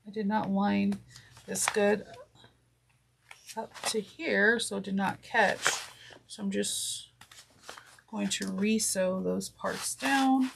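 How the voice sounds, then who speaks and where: A woman's voice: mid-range voice, steady tone, takes it slowly, creaky, pauses often. A congested woman with a nasal voice teaching a sewing project step by step, chatty and correcting herself as she goes, with apologies along the way. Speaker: sewing instructor. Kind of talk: step-by-step craft tutorial. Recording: noisy with clicks.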